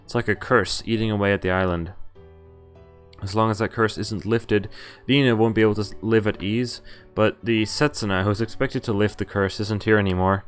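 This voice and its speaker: A man whose native language is Dutch speaks in a slightly low voice as a game streamer. He reads story text aloud and drops in casual remarks, stumbling on the odd word. Faint music plays behind him. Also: steady tone, normal speed, clear, resonant tone. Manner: stumbles over words while reading, comments to himself. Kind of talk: reading a visual novel aloud with casual live reactions.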